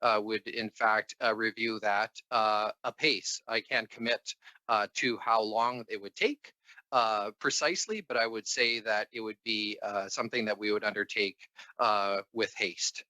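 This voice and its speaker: Male civil servant at a hearing; bright, close dry sound, careful and deferential, hesitant repeated phrasing. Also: on the low side, fairly even intonation, unhurried, dry recording, low-quality recording. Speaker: assistant deputy minister, government official. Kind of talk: formal testimony to a committee.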